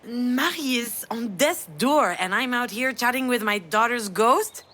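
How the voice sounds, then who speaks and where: An actress playing a weary, grieving mother in a video game, soft and wry, with a self-deprecating manner. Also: a little high, animated, measured pace. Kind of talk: naturalistic video game drama acting.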